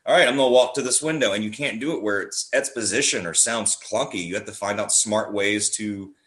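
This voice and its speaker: A man's voice: fairly low pitch, a little fast, a bit bright. A man speaking as a guest playwright in a casual podcast chat, explaining things by acting out examples, recorded with roomy echo. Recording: roomy, echoey sound.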